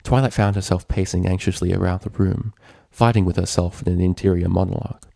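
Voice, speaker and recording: A man's voice: low-pitched, fairly even intonation, brisk, creaky, dark tone, no echo, clean studio sound. A man reading a story aloud as scripted narration. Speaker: fan fiction reader, narrator.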